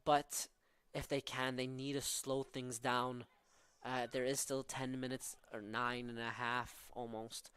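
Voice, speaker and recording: A man's voice: medium pitch, level tone, a little slow, a bit bright, clear, resonant tone. Norwegian-accented man calling soccer. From southern Norway. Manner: analytical, tactical, with many fillers. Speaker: play-by-play commentator. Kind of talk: live college soccer commentary.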